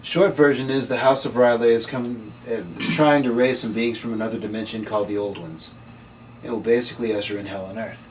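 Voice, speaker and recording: A man's voice: mid-range voice, fairly even intonation, fairly quick, natural voice. Man reading as brusque occult investigator, distant mic. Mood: brisk, knowing. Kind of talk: amateur script table read. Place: room, read aloud at a table. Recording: distant room mic, faint noise.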